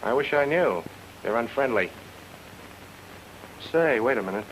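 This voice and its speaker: A man's voice: medium pitch, animated, measured pace, close to an everyday voice. A man acting a breezy, wisecracking private detective in a film noir radio drama. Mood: probing. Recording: noisy old-time radio recording.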